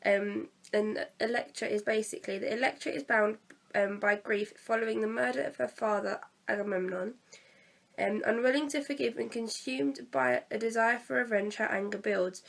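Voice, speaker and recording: Croaky teen girl with a cold. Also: fairly high pitch, normal speed. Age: teens. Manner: chatty, hesitant, many fillers. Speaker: vlogger reviewing a theatre play. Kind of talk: casual chat to camera. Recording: distant from the mic.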